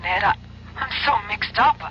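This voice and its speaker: A woman's voice: mid-range voice, moderate pace, bright, forceful tone, close to an everyday voice. A woman playing a concerned, conflicted sweetheart in a radio drama, speaking with tender, pleading feeling. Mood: hurt, conflicted. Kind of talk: 1970s radio drama acting. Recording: dull radio recording, faint noise.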